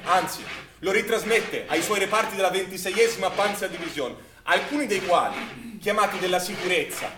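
A man, a stage actor, delivering dramatic scripted narration in a theatrical storytelling manner. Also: sits high. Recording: echoey theatre recording with clear noise.

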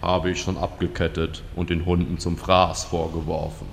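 An actor playing a dour, deferential servant of a fire lord in a comedic fantasy audio drama, speaking formally and deadpan. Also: male voice, sits low, moderate pace, vocal fry. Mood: dry, solemn. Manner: formal, deadpan, solemn courtly phrasing. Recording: long hall reverb, faint noise.

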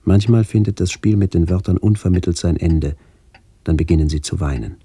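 A man playing an older narrator who recalls his village childhood in a radio play, measured and reflective, with a gravelly voice. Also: deep voice, dark tone, no room sound. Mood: somber, remembering. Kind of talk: literary first-person radio play narration.

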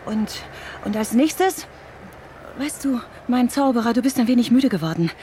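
A woman's voice: a little high, lively intonation, moderate pace, warm tone, a little hiss or hum, close to an everyday voice. An actress in a German radio drama playing a cunning, vengeful woman who talks in a taunting, intimate, theatrical way. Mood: mocking. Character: vengeful cunning woman restorer, playful and obsessive.

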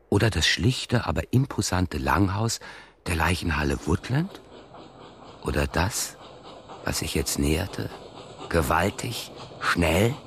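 A man narrating a radio drama from a script in a measured, literary storytelling manner. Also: sits low, vocal fry, fairly bright tone, a little hiss or hum. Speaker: radio play narrator and announcer.

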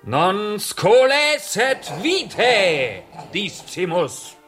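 Slow, pompous sham sorcerer. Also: male voice, high-pitched, big pitch swings, heavily acted. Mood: solemn, theatrical. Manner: solemn, declamatory incantation. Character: pompous male sham sorcerer spouting garbled Latin. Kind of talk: radio drama acting. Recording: music behind the voice.

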